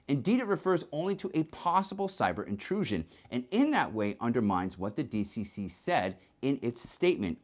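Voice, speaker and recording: A man reads scripted news commentary as a host. His pitch is average for a man but swings very widely from phrase to phrase. He explains things in an emphatic presenter's manner.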